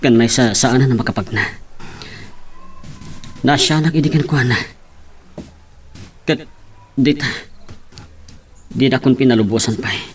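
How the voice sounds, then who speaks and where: A man's voice: medium pitch, unhurried, long pauses, close to an everyday voice. A man playing a troubled young husband with an Ilocano accent, confiding earnestly in a drama. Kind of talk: Ilocano radio-style drama acting.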